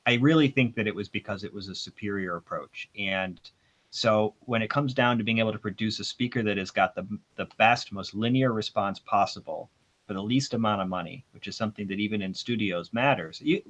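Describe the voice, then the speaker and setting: A man's voice: lowish voice, fairly bright tone. A man explaining technical matters informally as an audio expert on a panel, giving his opinions in a discussion. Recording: dull, band-limited sound.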